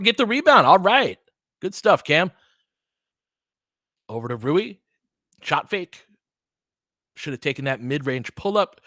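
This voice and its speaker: A man with a medium-pitched voice calls a basketball game live in a dry recording. His phrases are short and clipped, full of casual slang. He mixes play-by-play with analysis and reacts as a partisan fan. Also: a bit bright, no room sound. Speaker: play-by-play commentator.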